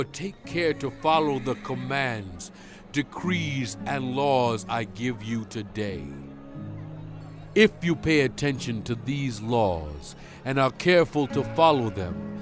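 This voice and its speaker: An actor playing a solemn, commanding prophet in a dramatized audio Bible, stern and fervent, speaking with deliberate, emphatic oratory. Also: male voice, medium pitch. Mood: solemn, tender. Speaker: dramatized scripture narrator. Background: music.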